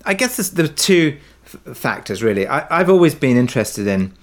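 A man speaking as an interview guest, telling stories in a reflective, halting, thoughtful way. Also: medium pitch, big pitch swings. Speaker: interview guest, audio drama writer-director. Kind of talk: reflective conversational storytelling.

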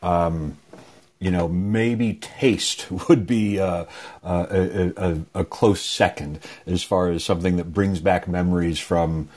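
A man who produces podcasts, talking in a relaxed, conversational way on a video call panel. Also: low voice, slow pace. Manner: relaxed, conversational, some restarts.

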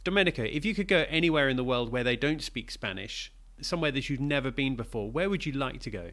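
A man hosting a podcast interview, enthusiastic and quick with affirming murmurs. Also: mid-range voice, expressive, a bit bright. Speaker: host.

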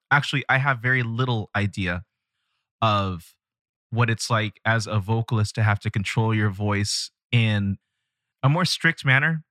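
A man with a lowish voice hosts a relaxed podcast conversation. He asks curious, rambling questions in an easygoing way. The sound is close and dry. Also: no room sound. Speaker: podcast host.

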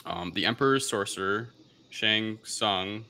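A man's voice: on the low side, measured pace, pushed and bright, slight background noise. A man hosting a podcast, talking casually through a film review in a somewhat hesitant way. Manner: hesitant, unsure of pronunciations. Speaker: podcast host.

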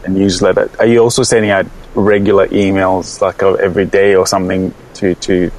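Deep voiced guy doing podcast interview questions, chatty but a bit hesitant. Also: level tone, a little fast, darkish tone, low-quality recording. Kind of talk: conversational interviewing.

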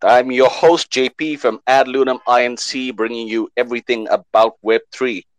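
A man hosting a live online panel show, lively and fond of repeating words for emphasis. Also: medium pitch, normal speed, no room sound. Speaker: host.

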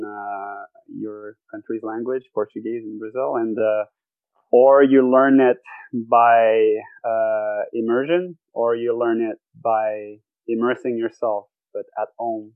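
A man's voice: medium pitch, no room sound, narrow, tinny audio. A man, a podcast guest who talks thoughtfully and searches for words. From Quebec, Canada. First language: French. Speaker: guest athlete. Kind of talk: casual podcast interview. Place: home bedroom.

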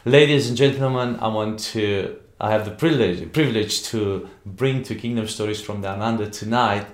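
An earnest male host shares a personal story and then interviews his guest, hesitating now and then. His voice sits low. The room adds a clear echo. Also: moderate pace.

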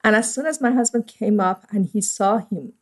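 Middle-aged Iranian-accented woman, priest and guest, earnest and reflective, mid-pitched voice. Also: normal speed, darkish tone. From Iran. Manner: earnest, reflective, with frequent fillers. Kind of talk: personal storytelling.

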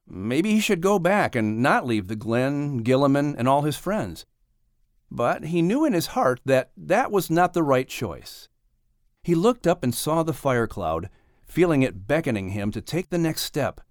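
Animated male storybook narrator, close and dry. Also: on the high side, unhurried, no echo. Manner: expressive, dramatic. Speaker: audiobook narrator. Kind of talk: scripted children's story narration.

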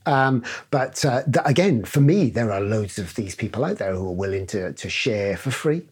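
Husky man with an English-Welsh accent. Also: on the low side, lively intonation, normal speed, a little mellow. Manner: hesitant, many restarts and fillers. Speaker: guest, security director. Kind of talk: casual interview talk. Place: studio in London.

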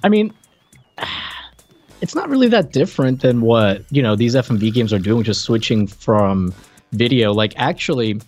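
Male podcast co-host, medium pitch, off-the-cuff chat, halting, rambling, stammering restarts. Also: expressive, darkish tone, soft background music. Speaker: podcast co-host reviewing retro video games. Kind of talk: casual unscripted chat.

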